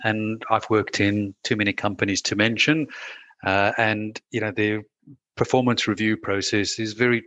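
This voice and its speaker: A man's voice: lowish voice, fairly even intonation, normal speed, a bit bright, no echo. A man with a South African accent, a guest talking things through casually, with plenty of "you know" and restarts. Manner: thoughtful, many fillers and restarts. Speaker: guest, managing director. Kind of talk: casual conversational interview.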